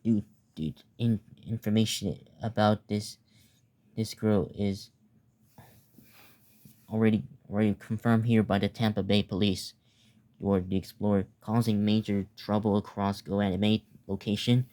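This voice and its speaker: Casting: young male narrator for mock emergency alert, low mellow voice, stumbling delivery. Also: steady tone. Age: teenager or young adult. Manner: halting, stumbling, repeats words. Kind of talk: improvised mock emergency announcement.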